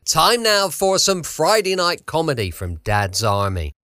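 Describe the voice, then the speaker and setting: A man's voice: fairly high pitch, very animated, a little slow, sharp tone, no room sound. Chatty english guy hosting a show, up and down voice, rambly, dry recording. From United Kingdom. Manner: rambling, effusive. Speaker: podcast host.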